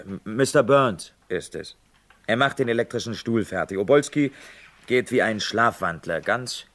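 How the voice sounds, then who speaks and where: A man's voice: lowish voice, a bit bright, exaggerated voice. Jittery, stammering male newspaper reporter. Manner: nervous, stammering, repeats himself. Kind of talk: vintage German radio crime drama acting.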